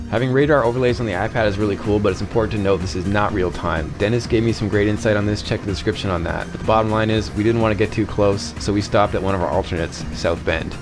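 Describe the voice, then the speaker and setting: Brisk low-voiced man narrating over music. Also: fairly even intonation. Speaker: pilot and narrator of a flying vlog.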